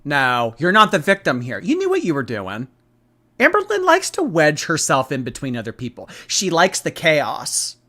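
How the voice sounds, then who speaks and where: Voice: mid-range voice, huge pitch swings, normal speed, bright, forceful tone. A commentator giving casual, emphatic reactions, blunt and given to repeating phrases for effect. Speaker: host reacting to clips.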